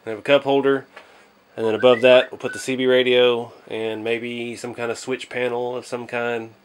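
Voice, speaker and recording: A man's voice: mid-range voice, flat delivery, moderate pace, a little hiss or hum. A man hosting a casual hobby build vlog, speaking in a modest, hesitant way as he thinks aloud. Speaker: hobby channel host.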